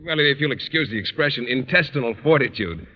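Actor as a bashful schoolteacher, lively inflection. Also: male voice, medium pitch, close to an everyday voice. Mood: friendly, sheepish. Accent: American. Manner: hesitant, sheepish, halting. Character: bashful, awkward, earnest man schoolteacher. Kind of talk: 1940s radio sitcom acting. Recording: dull old radio broadcast.

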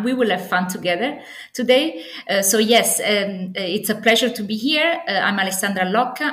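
The room rings around this woman's mid-pitched voice. She has an Italian accent and talks conversationally as an interview guest. She is enthusiastic, yet keeps pausing and rephrasing as she goes. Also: a bit bright. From Naples, Italy. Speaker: podcast guest, assistant professor. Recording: echoey, roomy sound.

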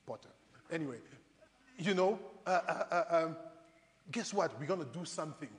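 A man's voice: on the high side, lively intonation, normal speed. A man preaching in a conversational way, putting rhetorical questions straight to his congregation and telling Bible stories. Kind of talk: animated sermon with acted-out Bible stories. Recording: reverberant hall, long echo.

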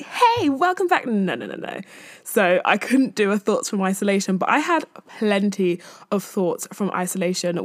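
Woman, 20s, podcast host. Candid, self-amused, casual rambling delivery at a mid pitch, recorded close and dry. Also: no echo. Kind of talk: casual chatty solo podcast.

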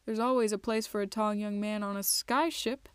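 A woman's voice: medium pitch, steady tone, normal speed, smooth, no echo, natural voice. A woman reading the part of a male sky pirate, a rogue with an offhand, muttering manner.

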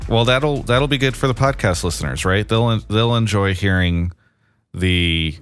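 A man's voice: fairly low pitch, moderate pace, a bit bright, clean tone, no echo, soft background music. A man co-hosting a podcast from his apartment, chatting casually with upbeat, joking banter. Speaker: podcast co-host.

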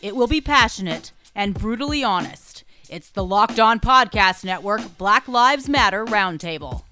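A woman's voice: medium pitch, expressive, measured pace. A woman reading a scripted promo as the announcer. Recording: music bed behind.

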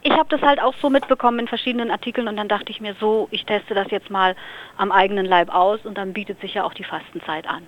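A woman from Lower Saxony answering questions in a relaxed radio interview, thinking aloud with small fillers. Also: fast talker. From Lower Saxony, Germany. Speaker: interview guest. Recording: slightly dull radio recording.

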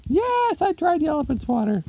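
A man voicing a frantic, scolding woman homeowner in a comic story, in a shrill, pleading falsetto. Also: sing-song, fairly quick, gravelly, warm tone, full character voice, rough recording. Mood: exasperated. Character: frantic, strong woman homeowner pestered by an elephant.

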